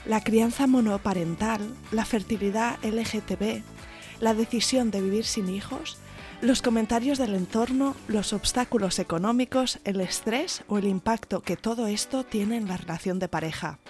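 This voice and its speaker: A woman's voice: medium pitch, normal speed, darkish tone, soft background music. A woman hosting a podcast, reading a scripted intro and then asking her guests questions in a friendly, enthusiastic way. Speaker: podcast host.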